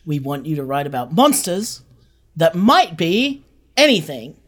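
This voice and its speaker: A woman's voice: low voice, sing-song, measured pace. A woman talking casually as an interview guest, candid and emphatic in making points. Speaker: interview guest, audio drama creator.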